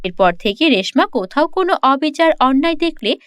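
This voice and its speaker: A woman's voice: high-pitched, very animated, normal speed, no room sound, clean studio sound. A woman reading a scripted children's fairy-tale narration as the storyteller.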